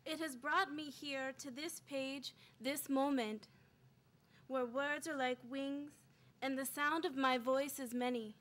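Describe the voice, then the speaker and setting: A woman reading her spoken-word poetry live, in measured short phrases with dramatic pauses. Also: sits high, fairly even intonation, a bit bright. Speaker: poet reading own work.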